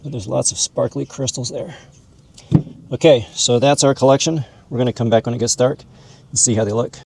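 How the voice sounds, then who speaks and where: A man's voice: medium pitch, fairly even intonation, a little fast, creaky, background noise. A man hosting an informal educational video, explaining and showing things in a folksy, conversational way. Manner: folksy, calls objects "this guy". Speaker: host, mineral hobbyist educator.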